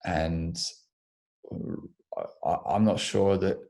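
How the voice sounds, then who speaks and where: Deep, gravelly Australian man, croaky morning. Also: steady tone, mellow. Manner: measured, with frequent restarts and ums. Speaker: physiotherapist guest. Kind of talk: thoughtful interview answers.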